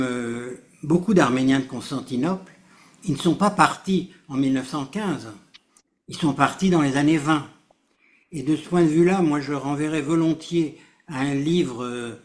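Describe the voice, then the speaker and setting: Slightly high-voiced man explaining history. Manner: measured, explanatory. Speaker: author's relative, guest. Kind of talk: radio interview guest.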